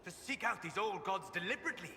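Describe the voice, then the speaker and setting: A man's voice: sits high, animated, fast, vocal fry, sharp tone, big-room sound, slight background noise, exaggerated voice. An actor voicing an anxious, solitary male warden who talks in agitated bursts and then broods. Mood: earnest.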